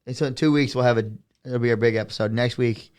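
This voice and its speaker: A man's voice: mid-range voice, fairly even intonation, fast talker, darkish tone, smooth. A young man co-hosting a podcast, chatting casually and laughing through his stories. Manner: jokey, laughs while telling stories. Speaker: podcast co-host. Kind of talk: casual chat.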